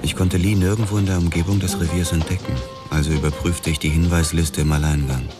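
An actor narrating as a laconic, hard-boiled detective in a radio play. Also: male voice, sits low, fairly even intonation, quick pace, music in the background, close to an everyday voice. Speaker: first-person narrator, detective.

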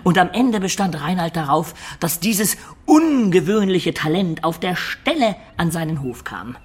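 A man's voice: sits high, very animated, a little slow, breathy edge, heavily acted. An actor playing a cheerful, sly, self-satisfied medieval liar who tells his tale in a playful, theatrical way for a German radio play. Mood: amused explaining.

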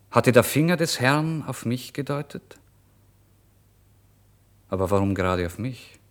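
Low-voiced, tormented, brooding starving man. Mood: indignant questioning. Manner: brooding, deliberate, theatrical, swinging between murmur and outburst. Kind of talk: dramatic first-person literary monologue for radio play.

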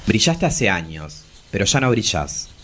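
A man's voice: lowish voice, very animated, a little slow, fairly bright tone, slight background noise. Figure: man as theatrical, bitter travesti. Range: sweeping. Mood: bitter lament. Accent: Argentine. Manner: solemn, theatrical, imperious. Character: bitter, theatrical travesti in her forties, fallen diva. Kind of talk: dramatic monologue.

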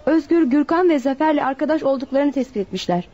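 A high, soft-toned female voice plays a worried young waitress. She speaks fast, respectful and earnest, in radio drama style. The recording is slightly dull. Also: animated. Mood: anxious, defensive. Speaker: voice actor. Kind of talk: Turkish radio drama acting.

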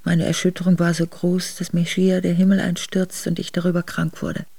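A woman's voice: on the low side, completely flat delivery, normal speed, vocal fry, mellow, dry recording. A woman playing a noblewoman who soberly recounts sorrowful memories of exile in a radio drama. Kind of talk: radio drama memoir narration.

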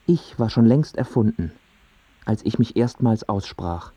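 An actor in a radio play playing a self-absorbed, precocious, stubborn boy who tells his own life earnestly and deliberately. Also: on the low side, expressive, mellow, breathy edge, no room sound, lo-fi sound. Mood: solemn, searching. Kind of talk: literary radio play monologue and dialogue.

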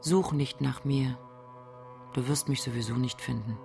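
An actress playing a cold, resolute wife in a radio drama, voicing a farewell in a cool, clipped, deliberate way. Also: female voice, very deep voice, fairly even intonation, creaky, mellow, soft background music, full character voice. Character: cold, resolute wife leaving her husband. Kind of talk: letter read in character in a radio drama.

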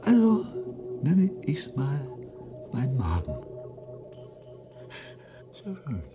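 A woman playing an innocent, bewildered newborn whale in a radio drama, curious and halting as it searches for words. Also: very deep voice, huge pitch swings, over music, heavily acted. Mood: curious, searching.

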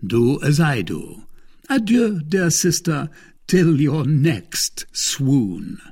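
A man's voice: a little high, very animated, extremely slow, a little mellow, slightly rough, voice pushed up, full character voice. A man playing a mocking sardonic lord. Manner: taunting, ironic, gloating.

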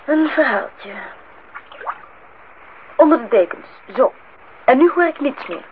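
An actress playing a hesitant woman who plays along as wife and mother in a Dutch radio play, tentative yet expressive. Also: high-pitched, slow pace. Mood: tender storytelling. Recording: dull old radio recording, faint noise.

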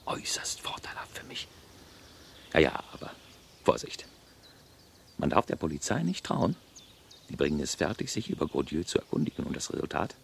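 An actor in a 1970s German radio drama playing a nervous, calculating embezzler and blackmailer in a theatrical manner. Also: male voice, rumbling low, creaky, slight background noise, heavily acted. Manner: theatrical, muttering asides to himself. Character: scheming male embezzler, nervous, calculating, smoothly menacing blackmailer.

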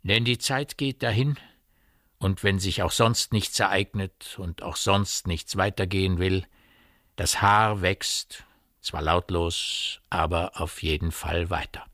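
A man's voice: low-pitched, slow, a bit bright, dry recording, studio quality. A man narrating a literary radio play as a scripted storyteller.

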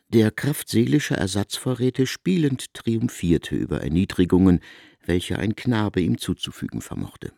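A man's voice: fairly low pitch, vocal fry, a little mellow, no echo, clean studio sound, exaggerated voice. A man narrating a literary radio drama in a formal, articulate storytelling manner.

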